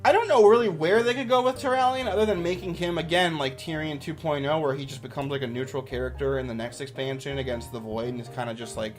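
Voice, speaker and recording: A man's voice: a little high, fast talker, hardly any pauses, faint music behind. A man giving casual, off-the-cuff commentary as a YouTuber, with an enthusiastic, rambling manner.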